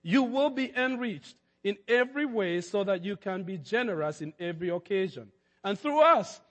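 A male pastor with a high-pitched voice delivers a sermon in a church. He stumbles and repeats words, then builds to emphatic peaks. The sound carries a long hall reverb.

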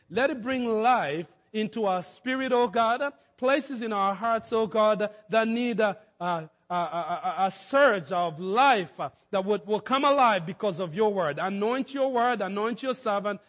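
High-voiced pastor preaching in a reverberant hall. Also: male voice, a bit bright. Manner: halting, repeats words, rises to emphatic peaks. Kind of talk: sermon.

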